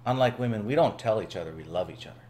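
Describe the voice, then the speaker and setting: A man's voice: fairly low pitch, lively intonation, fast, dark tone, a little hiss or hum. A man who is a guest on a panel talk show, speaking in an enthusiastic way with some hesitant fillers. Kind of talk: casual panel discussion.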